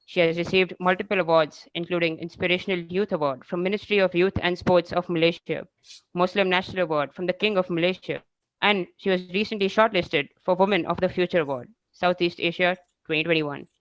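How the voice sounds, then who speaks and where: An event co-host reading a formal introduction of the speaker in a steady manner, over a video call. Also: medium pitch, a little fast, smooth, no echo. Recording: dull, dry lo-fi call audio.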